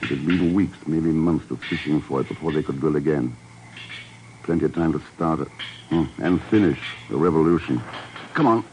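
An actor playing a shrewd male secret agent in a 1950s radio drama, calm, clipped and dry. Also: very deep voice, normal speed. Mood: watchful. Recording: noisy old radio recording.

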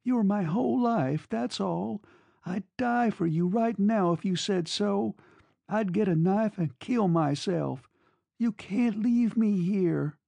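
A man's voice: high-pitched, a little slow, no room sound, voice pushed up, full character voice. A man voicing a young woman in love, clinging and desperate, with a raw, pleading manner in an audiobook story. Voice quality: soft, breathy delivery.

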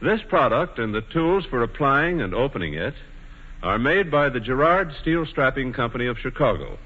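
A man reading scripted sponsor copy as a 1940s radio spokesman, in a formal, measured announcer delivery. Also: mid-range voice. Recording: dull, reverberant 1940s radio broadcast.

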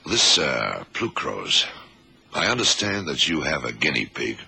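A methodical FBI investigator in an old radio drama. His voice is rock-bottom low and gravelly, with a hard, sharp edge. He questions deliberately, murmuring as he thinks, over a slightly noisy recording. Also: big pitch swings, exaggerated voice. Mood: politely probing. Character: shrewd, methodical male FBI investigator leading a case. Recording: 1970s radio drama, faint noise.

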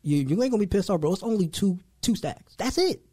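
A man in his thirties hosts a casual podcast chat, loose and full of slang. His voice sits a touch high for a man. He gets animated when he makes a point. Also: fast, slightly rough. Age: 30s. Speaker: podcast host.

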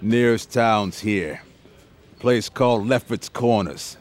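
A man's voice: on the low side, takes it slowly. An actor playing a brusque male police captain giving curt orders in a radio drama.

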